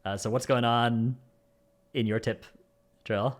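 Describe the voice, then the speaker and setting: A man's voice: mid-range voice, steady tone, slow. A man hosting a gaming podcast in a casual, chatty style. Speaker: podcast host.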